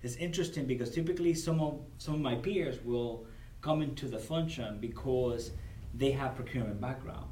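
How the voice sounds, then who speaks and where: A man's voice: mid-range voice, moderate pace, warm tone. A man from Cuba with a Cuban Spanish accent, Spanish as his first language, speaking earnestly as a guest on a podcast at a convention and often repeating words as he gathers his thoughts. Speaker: guest, corporate supplier diversity director. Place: convention venue. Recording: roomy, distant mic with faint noise.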